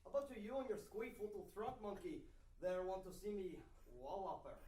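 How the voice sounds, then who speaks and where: A man's voice: sits high, animated, a little fast, warm tone. A man playing a drunk, belligerent Scottish man with a Scottish accent in a comedy table read. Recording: roomy, distant, with background noise.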